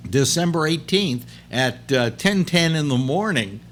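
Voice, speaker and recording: A man in his late seventies hosting a casual chat, rambling and halting with many restarts. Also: fairly high pitch, expressive, fairly bright tone, a little hiss or hum. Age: 70s. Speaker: host.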